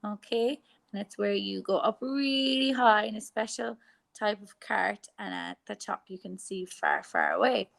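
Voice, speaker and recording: Slow gravelly Irish woman teaching phonics. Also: medium pitch, fairly bright tone. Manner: slow, exaggerated sounding out of letters. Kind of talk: phonics lesson for young children.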